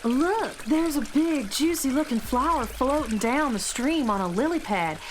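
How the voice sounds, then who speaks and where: A high-voiced, excitable female space traveler, played by a woman in a children's radio play. She sounds eager and wide-eyed. Faint trickling water is heard behind her. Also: measured pace, slight background noise. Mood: excited.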